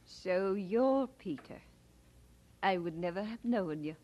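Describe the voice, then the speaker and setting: A middle-aged Scottish aunt in an audio drama. Her voice is of medium height with a husky grain. She is brisk, outspoken and fond of teasing. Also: medium pitch, animated, lo-fi sound.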